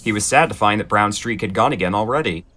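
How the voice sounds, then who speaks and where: A man narrating in a gentle, expressive children's storybook style, with dry asides. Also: on the low side, fast talker, a little hiss or hum.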